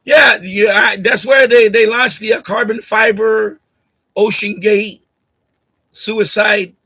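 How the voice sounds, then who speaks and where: Husky, bright-voiced argumentative streamer man. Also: sits high, steady tone, takes it slowly. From Puerto Rico. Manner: blunt, argumentative, repeats himself, laughs often. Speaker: live stream host. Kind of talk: casual live stream chat answering commenters. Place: home streaming setup. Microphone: USB condenser mic on boom arm.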